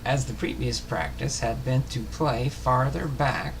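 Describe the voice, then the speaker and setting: A man hosting a livestream, talking casually and reading aloud in a halting way with uneven phrasing. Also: mid-range voice, creaky, noisy background. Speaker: host of a baseball biography livestream.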